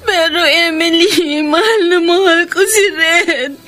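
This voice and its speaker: A woman's voice: very high voice, slow pace, pushed and bright, full character voice. An actress playing a desperate, lovesick young woman in a tearful, melodramatic radio drama. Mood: tearful, pleading. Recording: music under the voice.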